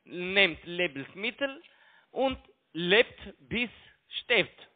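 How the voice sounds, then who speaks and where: A man with a foreign accent argues over a thin, narrowband phone line. His voice sits fairly high, and his non-native, broken phrasing keeps repeating itself as he defends his position. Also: animated, very slow. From outside Germany. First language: not German. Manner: broken German, defensive, repetitive. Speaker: phone scam caller. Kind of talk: argumentative phone conversation. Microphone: telephone.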